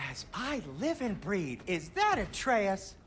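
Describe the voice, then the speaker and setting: A fussy gushing dwarf, drags words out, swoopy pitch, game cutscene. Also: male voice, huge pitch swings, a bit bright, slight background noise, exaggerated voice. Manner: effusive, drawn-out delivery. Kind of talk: video game cutscene acting.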